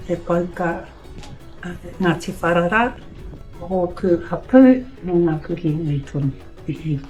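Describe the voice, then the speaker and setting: Calm New woman, lowish voice. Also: poor audio. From New Zealand. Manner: calm, measured, reciting her ancestry. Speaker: local guide and guest. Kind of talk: interview answers.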